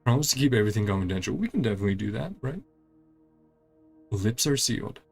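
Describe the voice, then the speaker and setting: A man's voice: lowish voice, lively intonation, quick pace, gravelly, faint music behind. A man doing casual playthrough commentary on a video game, speaking in clipped fragments and murmured reactions. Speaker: gaming channel host.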